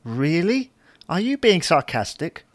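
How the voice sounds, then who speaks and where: A mild, baffled husband played by a man in a domestic audio comedy. He is wry and resigned, and his pitch moves about animatedly. The recording is close and dry. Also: very animated, unhurried, no room sound. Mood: put-upon, sarcastic. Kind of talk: domestic audio sitcom acting.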